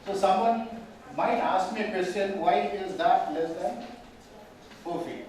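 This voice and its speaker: Guy teaching a class, kinda high voice, faint hum behind. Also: a little slow, a little mellow, recorded from a distance. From Tamil Nadu, India. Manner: explanatory, asks the room questions often. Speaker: mediation trainer. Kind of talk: classroom lecture. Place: large echoey training hall. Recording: very echoey hall, speaker off mic, faint room hum.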